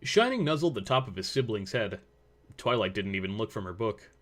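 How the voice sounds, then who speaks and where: Low-voiced man narrating a story. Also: sing-song, fairly quick, fairly bright tone.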